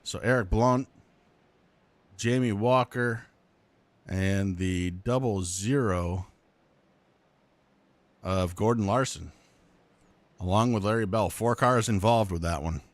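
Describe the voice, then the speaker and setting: A man's voice: takes it slowly, pauses often, no room sound. A man with a Vermont accent doing lap-by-lap commentary on a simulated stock car race, his voice jumping up sharply when a wreck unfolds. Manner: excited pitch jumps when calling wrecks. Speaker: play-by-play commentator. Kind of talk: sim racing commentary.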